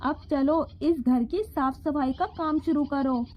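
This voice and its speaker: A woman's voice: high voice, unhurried, muffled recording, a little hiss or hum. A woman voicing a stern, punishing devil in a fairy-tale cartoon, commanding and scolding. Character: stern punishing devil guarding a magical house.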